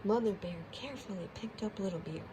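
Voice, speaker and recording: A woman's voice: mid-range voice, a little fast, background noise. A woman storyteller narrating a children's storybook expressively.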